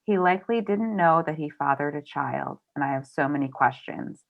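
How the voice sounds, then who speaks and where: A woman's voice: deep voice, animated, normal speed, creaky, phone-quality sound. A woman moderating a bookstore's virtual author event, casual and upbeat as she reads out audience questions and wraps up. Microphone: video call.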